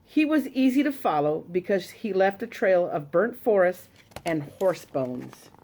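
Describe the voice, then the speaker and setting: A woman telling a children's story to a congregation, expressive and animated. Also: lowish voice, measured pace. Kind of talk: reading a children's story aloud.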